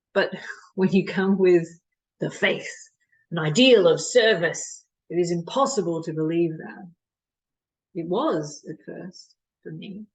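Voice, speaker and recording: A woman performing a stage monologue as a disillusioned colonial mission doctor, bitterly candid and deliberate, with dramatic pauses. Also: fairly low pitch, gravelly, a little mellow, poor audio. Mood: reflective.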